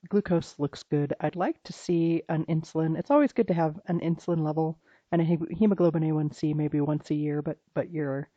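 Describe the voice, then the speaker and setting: A slightly hoarse health practitioner walks through a client's lab results one by one, speaking in a halting, informal way and often restarting. The voice is mellow and dark. It sounds dull and muffled, in a dry, close space with no room echo. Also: mid-range voice. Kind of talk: private recorded walkthrough of lab results.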